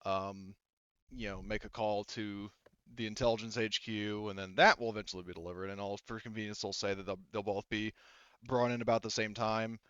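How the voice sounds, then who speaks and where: Game master, male, smooth and lowish, halting improvised narration, close dry slightly muffled recording. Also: fairly even intonation, fairly bright tone, no room sound. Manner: halting, conversational.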